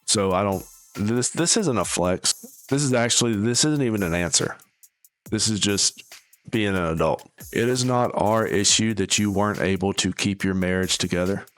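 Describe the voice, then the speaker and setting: A man's voice: on the low side, moderate pace, a bit bright, dry recording. A man giving casual, opinionated reaction commentary as a video host, in a sardonic, dismissive manner. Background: background music.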